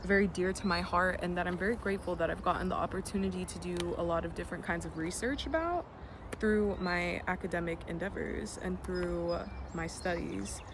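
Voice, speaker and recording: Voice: medium pitch, fairly quick. A young adult vlogger from the United States, speaking in an earnest, reflective way with frequent ums and some casual swearing. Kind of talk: reflective personal vlog. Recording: noisy background with birds and passing vehicles.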